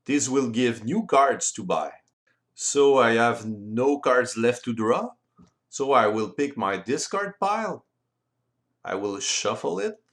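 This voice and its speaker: Slow-paced French man explaining game rules. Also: mid-range voice, animated, a bit bright. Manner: careful, deliberate non-native English delivery. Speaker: board game rules presenter. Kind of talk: explanatory tutorial voice-over.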